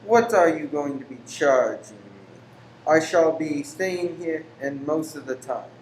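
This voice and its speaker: An actor in a radio play playing a weary, pious, secretive lodger who is formal, exacting and easily angered. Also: male voice, fairly high pitch, lively intonation, unhurried, darkish tone. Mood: formal, businesslike. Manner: formal, exacting, abrupt then apologetic. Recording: roomy echo with faint background noise.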